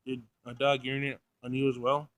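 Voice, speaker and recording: A man's voice: medium pitch, flat delivery, a little fast, a bit bright, no echo, pitched below the performer's own voice, lightly acted, poor audio. Man voicing a female android, visual novel game, clinical, pedantic, blunt, dry close sound. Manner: pedantic, clinical, formal wording. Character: literal-minded, formal female android, blunt and emotionless.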